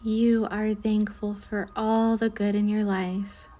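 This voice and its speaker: Slow, mellow woman guiding meditation. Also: mid-range voice, little pitch movement. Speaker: guided meditation leader, Reiki instructor. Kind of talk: slow guided meditation narration.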